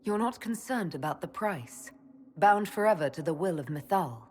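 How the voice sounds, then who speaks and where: Woman playing an earnest, low-voiced elven leader. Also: expressive, normal speed, darkish tone, a little hiss or hum, lightly acted. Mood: challenging. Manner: earnest, questioning, direct. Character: earnest, cautious elven woman leading a band. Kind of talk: dramatic fantasy game acting.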